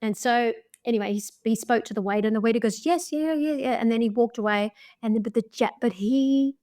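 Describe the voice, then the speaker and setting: A woman's voice: fairly high pitch, fairly quick, warm tone. Australian woman, podcast guest, talkative, laughs through her stories, easy conversational delivery. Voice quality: chatty, laughs often. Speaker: podcast guest, travel creator. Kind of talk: casual storytelling chat.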